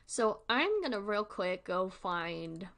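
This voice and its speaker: A woman's voice: mid-range voice, very animated, takes it slowly, a bit bright. A woman hosting a livestream with casual, informal commentary, rambling and thinking aloud as she goes. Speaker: livestream host.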